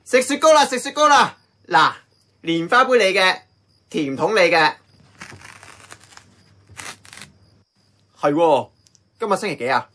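An actor playing a brash, impatient grumbler in a Hong Kong Cantonese radio drama, blunt and scoffing. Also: male voice, high-pitched, lively intonation, normal speed, pushed and bright. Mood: excited, bossy.

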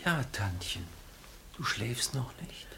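An actor playing an eager, ingratiating young nephew in a 1960s radio play, coaxing and cheerful. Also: male voice, mid-range voice, big pitch swings, slow pace, creaky, sharp tone, exaggerated voice. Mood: soothing. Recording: 1960s radio recording with background noise.

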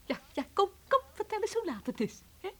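A woman's voice: very high-pitched, sing-song, brisk, a bit bright, slight background noise, heavily acted. A woman playing a pert, practical young helper in a children's comic radio play, chatty and matter-of-fact. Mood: pert, nagging.

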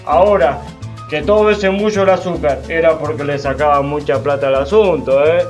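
An actor playing a folksy, indignant veteran cane cutter with a Cuban accent, colloquial and exclamatory in a radio drama. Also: male voice, fairly high pitch, normal speed, close to an everyday voice. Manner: colloquial, exclamatory, vivid storytelling. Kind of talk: radio drama monologue in casual talk. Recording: faint music behind.

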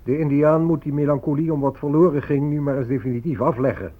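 A man's voice: a little high, fairly even intonation, fast, lightly acted. Man acting a smug official, muffled old recording. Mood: patronizing. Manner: condescending, official. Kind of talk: Dutch radio play acting. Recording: dull, lo-fi radio recording.

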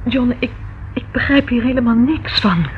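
A fragile, oversensitive teenage girl acted by a woman, speaking high and pleading. It is timid radio play acting on a dull, slightly noisy old recording. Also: moderate pace, natural voice. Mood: relieved, confused.

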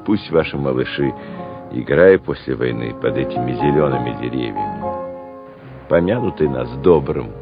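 Very deep-voiced army commander. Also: male voice, lively intonation, normal speed. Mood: solemn, tender. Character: stern, dry, soft-hearted army company commander. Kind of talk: radio play acting. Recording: muffled old recording under loud music.

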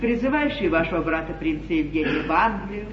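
An old, distant theatre recording with a dull, echoing sound. An actress plays a domineering, shrewd duchess in a stage comedy. Her delivery is imperious and emphatic, with very mobile pitch. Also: animated, brisk, a little hiss or hum, lightly acted. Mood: indignant, accusing. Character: domineering, shrewd duchess at an English royal court.

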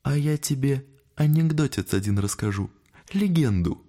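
An actor playing a genteel, down-at-heel devil in an audio drama, talking in an urbane, familiar, teasing way. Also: male voice, mid-range voice, animated, normal speed, dark tone. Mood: playful, confiding. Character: genteel, shabby male devil, chatty and ingratiating. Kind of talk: dramatic audio play acting.

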